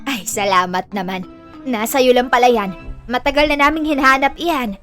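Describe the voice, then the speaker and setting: A woman's voice: fairly high pitch, moderate pace, a bit bright, close to an everyday voice. A woman with a Filipino accent playing a friendly, chatty neighborhood auntie in an audio drama, speaking informally. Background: music.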